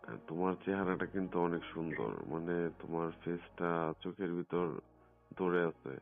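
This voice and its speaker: A man with a deep voice talks privately on the phone, coaxing and insistent. The sound is thin and muffled like a lo-fi call recording, with music behind him. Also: steady tone, normal speed, vocal fry. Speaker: phone caller in a recorded conversation.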